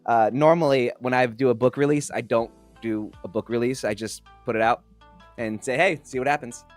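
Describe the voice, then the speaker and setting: A man's voice: mid-range voice, moderate pace, soft background music. A man co-hosting a podcast, chatting loosely with a friend, swearing and joking. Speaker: podcast co-host, author. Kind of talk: casual banter. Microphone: studio mic.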